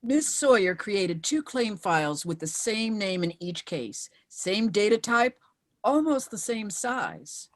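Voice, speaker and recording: A woman's voice: medium pitch, very animated, slow, no room sound, studio quality. An actress reading the part of a determined widow in her fifties at a screenplay table read. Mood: earnest, probing.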